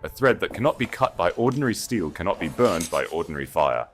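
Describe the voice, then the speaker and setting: A young, regal storybook queen acted by a man. The delivery is calm and commanding, coldly unyielding, with animated intonation. Music plays clearly behind the voice. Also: deep voice. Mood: unyielding authority. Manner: measured, resonant, commanding.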